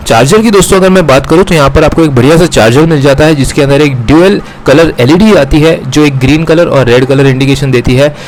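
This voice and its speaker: An Indian man with a slightly high-pitched voice hosts a product review. He explains each item to his viewers and switches into English phrases now and then. There is some light ambient noise behind him. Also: fairly quick, few pauses, rough recording. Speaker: host of a gadget review channel. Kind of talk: unboxing and product review narration, addressing viewers.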